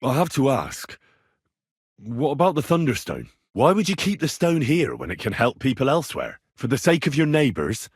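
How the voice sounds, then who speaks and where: A man's voice: mid-range voice, sing-song, moderate pace, slightly rough, no room sound, clean studio sound. An actor playing an earnest, stubborn young medieval man in a video game, speaking in a direct, persuasive way. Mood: earnest persuading. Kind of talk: acted video game dialogue lines.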